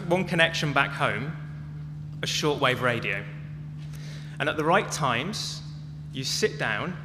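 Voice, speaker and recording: A man giving a prepared talk as a conference speaker, phrasing it in short, measured, emphatic chunks. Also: on the high side. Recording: long echoey reverb, clearly noisy with hum.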